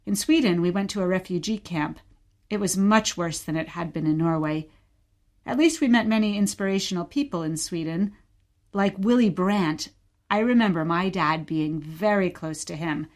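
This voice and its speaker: A woman acting a gentle, patient mother who tells stories of her childhood in a scripted radio play. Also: on the low side, moderate pace, natural voice. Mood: patient storytelling. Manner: patient, storytelling.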